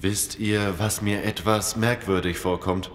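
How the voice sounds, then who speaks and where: An actor playing a wary, reasoning survivor in a zombie audio drama, speaking in a deliberate, questioning way. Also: male voice, lowish voice, fairly even intonation, close to an everyday voice. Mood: suspicious. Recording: long cavernous reverb, some noise.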